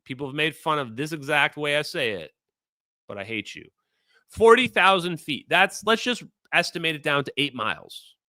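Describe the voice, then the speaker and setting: A man with a Minnesota accent, talking through a casual livestream in a bright, forceful voice that sits a bit high. He rambles, repeats himself and hunts for words. The sound is dry and close. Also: normal speed, dry recording. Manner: rambling, animated, fillers and self-repeats. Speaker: streamer host.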